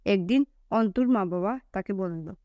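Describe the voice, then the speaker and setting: A woman's voice: medium pitch, a little slow, dry recording, dull audio. A woman narrating a scripted children's story.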